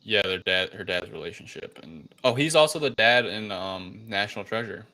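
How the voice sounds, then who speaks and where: Low, bright-voiced man in casual discussion. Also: moderate pace. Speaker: podcast co-host. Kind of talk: casual movie review chat.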